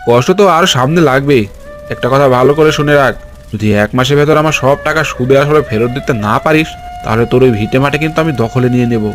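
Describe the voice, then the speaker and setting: A man's voice: medium pitch, fast talker, lightly acted. A man playing a harsh, threatening village moneylender in a cartoon, scolding and domineering. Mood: menacing. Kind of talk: Bengali cartoon storytelling dub. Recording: voice over background music.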